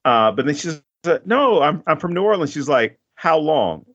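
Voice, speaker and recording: A man's voice: a little high, big pitch swings, moderate pace, fairly bright tone, muffled recording. A man hosting a podcast, chatting casually about a film in a rambling way and laughing often. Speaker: podcast host.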